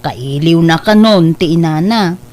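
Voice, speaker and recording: An actress playing a kindly middle-aged aunt in an audio drama, speaking in a caring, encouraging way. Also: female voice, low voice, unhurried, a little mellow. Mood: respectful, concerned. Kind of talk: Ilocano audio drama acting.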